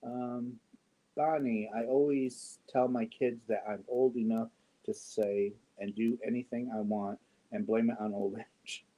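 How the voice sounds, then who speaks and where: A man in his fifties chatting casually with viewers on a yarn livestream, joking and often clearing his throat. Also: mid-range voice, fairly even intonation, measured pace, warm tone, rough recording. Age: 50s. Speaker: yarn channel host. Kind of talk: casual livestream chat answering viewer comments.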